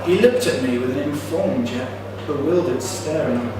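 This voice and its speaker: A young man doing a deadpan stand-up comedy set in a pub. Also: medium pitch, normal speed, background noise. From South East England. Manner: deadpan delivery, laughs at own jokes. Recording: roomy pub sound from far off. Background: audience laughter.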